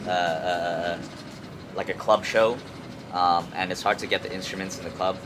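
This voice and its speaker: Low-voiced young man, halting, city noise behind. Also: steady tone. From Hong Kong. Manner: halting, repeats words, slangy with frequent "like" and "you know". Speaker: music artist, interview guest. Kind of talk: casual chat. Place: outdoors on an urban rooftop. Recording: clear outdoor city traffic noise.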